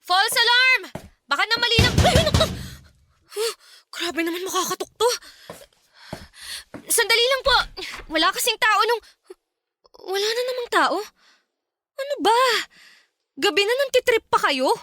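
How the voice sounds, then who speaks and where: Spooked young filipina in a radio drama, really high bright voice, snappy and jumpy. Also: female voice, animated, moderate pace, pauses often, a little hiss or hum, full character voice. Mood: annoyed, defiant. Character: jumpy, irritable young woman spooked by a stalker.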